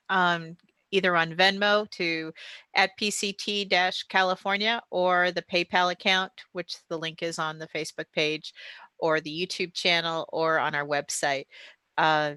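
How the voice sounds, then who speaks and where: A woman's voice: lowish voice, fairly even intonation, unhurried, bright, forceful tone, smooth, no room sound. A woman presenting for a theatre company, chatting casually and making announcements.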